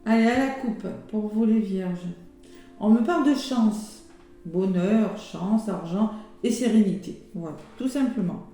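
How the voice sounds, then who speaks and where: A hoarse woman chats through a weekly oracle card reading, with plenty of fillers and exclamations. Her voice sounds mellow and dark. It comes from a very echoey room with faint music behind her. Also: medium pitch, a little slow. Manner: chatty, with many fillers and exclamations. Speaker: tarot and oracle card reader.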